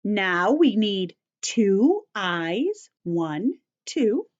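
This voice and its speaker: A woman teacher giving a story-time lesson to young children, explaining in simple, deliberate phrases and repeating key points. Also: mid-range voice, big pitch swings, dull audio.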